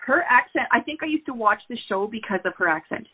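A woman's voice: a little high, lively intonation, quick pace, slightly rough, narrow, tinny audio. Affirming woman host, phone-thin sound. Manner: warmly agreeable, affirming. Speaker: podcast host. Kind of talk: casual interview chat.

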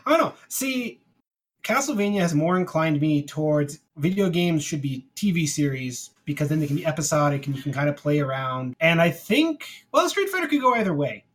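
A man with a somewhat higher-pitched voice speaks as a podcast co-host. His delivery is informal and conversational, like someone trading opinions with a friend. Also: very animated, fairly quick. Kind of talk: casual chat.

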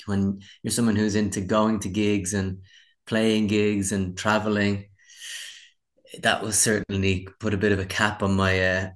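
Man, forties, Irish accent, lower pitch, wry, self-deprecating, casual interview talk. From Ireland. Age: 40s. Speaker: musician interviewee.

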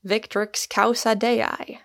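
A woman voicing a sardonic, bitter Irishman in audiobook dialogue, with calm, deliberate irony. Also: on the high side, vocal fry, voice pushed up, full character voice. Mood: ironical. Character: gloomy, sardonic Irishman, quiet, bitter and ironical.

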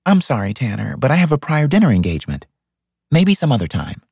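A man's voice: medium pitch, wildly swooping pitch, a little fast, gravelly, a little mellow, husky, dry recording, clean studio sound, pitched above the performer's own voice, heavily acted. A man voicing a polite, guarded young career woman in an audiobook story. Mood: politely declining.

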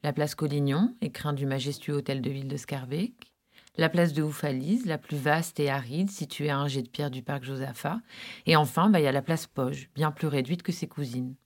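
A woman's voice: deep voice, normal speed, a little mellow. A woman narrating a podcast in a reflective, literary manner with conversational asides.

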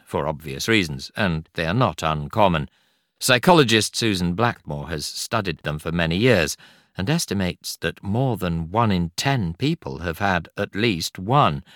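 A man reading a non-fiction audiobook in a measured, articulate and expressive way. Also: low voice, no room sound, clean studio sound. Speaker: audiobook narrator. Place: studio.

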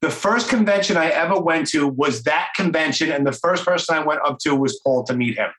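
A man's voice: a little high, brisk, a bit bright. A man in his forties hosting an interview, talking loosely and with enthusiasm, full of fillers. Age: 40s. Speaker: podcast host. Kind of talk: casual interview chat. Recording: roomy echo.

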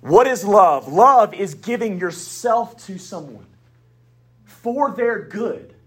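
A man preaching a sermon in a Southern US accent, with emphatic declarations, quiet asides and folksy humor. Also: sits high, expressive, takes it slowly, husky. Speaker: pastor.

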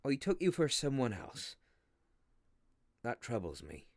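An actor playing a troubled railway signalman in a radio ghost story, speaking gravely and haltingly with dramatic intensity. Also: mid-range voice, very animated, dry recording, studio quality. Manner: grave, halting, dramatic storytelling with hushed drops and anguished outbursts. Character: haunted, troubled railway signalman telling of a spectre. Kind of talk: radio play acting of a Victorian ghost story.

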